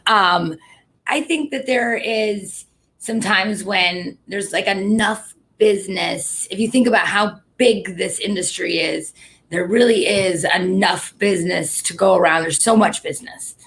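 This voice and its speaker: Chatty woman with a gravelly voice. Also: mid-range voice, unhurried, fairly bright tone, a little hiss or hum. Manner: chatty, lots of filler "like". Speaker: host. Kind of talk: casual interview chat.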